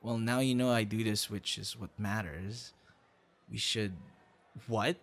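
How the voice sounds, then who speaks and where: A man's voice: on the low side, fairly even intonation, a little slow, gravelly. A man acting a shy, kind young boyfriend in an ASMR roleplay, speaking conversationally with hesitations and stammers. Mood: bashful, playful.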